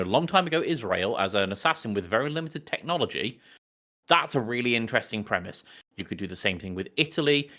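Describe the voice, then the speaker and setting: A man's voice: lowish voice, sharp tone. A man co-hosting a podcast in casual chat, blunt and sweary in the way he talks. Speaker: podcast co-host.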